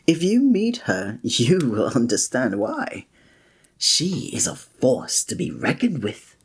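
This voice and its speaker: Man doing flirty wry innkeeper, ASMR roleplay, breathy airy voice, mid pitch, formal olden speech, chuckles. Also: wildly swooping pitch, slow pace, heavily acted. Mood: amused. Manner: formal old-fashioned wording with chuckling asides.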